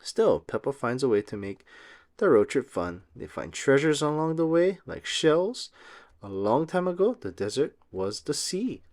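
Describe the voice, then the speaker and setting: Male storybook narrator for young children, expressive and very lively in pitch. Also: a little slow. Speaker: read-aloud host. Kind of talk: children's picture book read-aloud, scripted narration.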